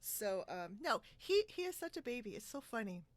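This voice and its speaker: A woman's voice: medium pitch, big pitch swings, moderate pace, no room sound. A woman hosting a casual podcast interview from a room at home, chatty and giggly, on a video call. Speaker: podcast host.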